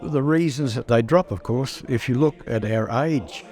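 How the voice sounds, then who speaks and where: A man's voice: mid-range voice, darkish tone, faint music behind. Eighty-something man recalling choir days. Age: 80s. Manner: unhurried, halting with small restarts. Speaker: choir member. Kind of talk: reminiscing interview.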